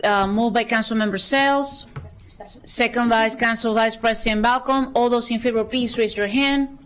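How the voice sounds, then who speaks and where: A woman chairing a county council session, reading procedural items briskly with light asides, at a desk microphone in a council chamber. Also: fairly high pitch, fairly even intonation. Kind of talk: formal procedural meeting chairing.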